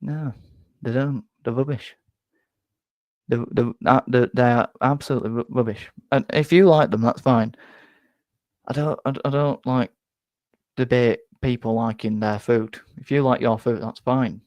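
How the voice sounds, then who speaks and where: A young man with a Yorkshire accent, slightly nasal and flat, chatting casually on a live stream, rambling and stumbling over repeated words. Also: medium pitch, unhurried, no echo. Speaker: football fan streamer reading chat.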